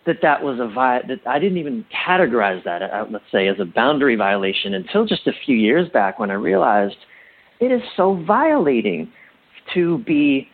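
Husky middle-aged man, halting and emphatic. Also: mid-range voice, big pitch swings, narrow, tinny audio. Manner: halting, self-correcting, emphatic. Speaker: interview guest, relationship coach. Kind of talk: reflective interview conversation.

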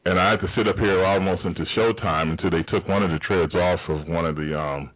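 A man with a very deep, low voice hosts a radio talk show, rambling and stopping to restart his sentences. He is heard over a thin cell phone line. The sound is dry, with no room behind it. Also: breathy edge, no room sound. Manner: rambling and halting, with many fillers and restarts. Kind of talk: casual call-in talk show hosting.

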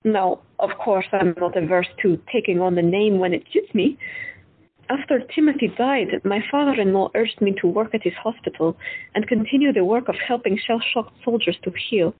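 A woman playing a frank, unconventional woman doctor who talks bluntly and candidly with a slightly husky voice. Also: medium pitch, moderate pace, close to an everyday voice. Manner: blunt, candid, lightly teasing. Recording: tinny phone-like audio.

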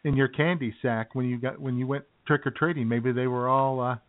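A man's voice: mid-range voice, fairly even intonation, brisk, no echo. A man co-hosting a comedy radio show, teasing his co-host in playful banter. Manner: teasing, eggs others on. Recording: tinny phone-like audio.

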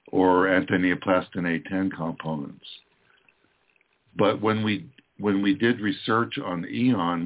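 A man with a low voice speaks slowly and carefully as a doctor co-hosting a call-in show. His voice is thin and tinny, like a phone line. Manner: slow, measured explaining. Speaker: co-host, doctor.

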